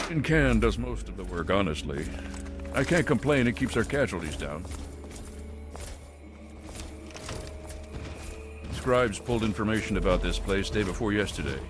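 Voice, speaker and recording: An actor voicing a gruff veteran soldier giving clipped, no-nonsense orders in a video game. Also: male voice, fairly low pitch, expressive, measured pace, long pauses, over music. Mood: weary. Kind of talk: video game cutscene dialogue.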